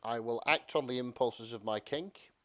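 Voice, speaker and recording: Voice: medium pitch, moderate pace. A podcast co-host in casual comedic chat, throwing in playful, jokey interjections.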